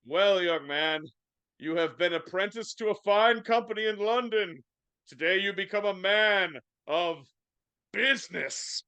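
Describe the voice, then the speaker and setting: A man's voice: sits high, measured pace, sharp tone, clear, resonant tone, no echo. A man reading the part of a hearty, declamatory older-style boss in a live script reading. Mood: pompous. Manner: declamatory, grandly addressing listeners. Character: hearty male headmaster and festive employer, declaiming. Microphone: video call.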